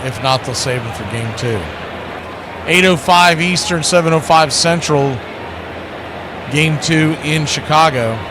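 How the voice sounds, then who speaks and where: A man's voice: on the high side, measured pace, pauses often, noisy background. A man calling live baseball play-by-play, conversational, with clipped calls and wry asides. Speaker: play-by-play radio announcer.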